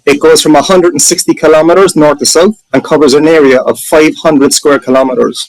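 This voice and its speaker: Man, Irish accent, enthusiastic, chatty, self-correcting, slightly high, dry close recording. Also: steady tone, brisk, no echo, rough recording. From Ireland. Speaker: booking manager presenting his sailing resort. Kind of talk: casual presentation on a video call.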